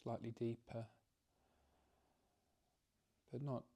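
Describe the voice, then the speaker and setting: Slow, mellow man guiding meditation. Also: mid-range voice, husky. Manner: calm, gentle, halting with frequent pauses and repeated phrases. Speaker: meditation teacher. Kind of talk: guided meditation, softly instructive.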